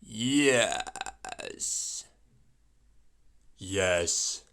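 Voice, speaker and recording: A man with a bright, sharp voice records a series of one-word sound effect takes. His pitch swings widely and animatedly from take to take, and he delivers each take very slowly, stretching it out. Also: animated. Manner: many takes of one word, from whispered to shouted.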